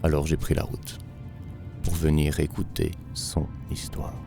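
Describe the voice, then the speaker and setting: Deep gravelly man, slow reflective voice-over. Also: monotone, over music. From Belgium. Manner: slow, measured, reflective delivery. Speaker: documentary narrator. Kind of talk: scripted voice-over narration.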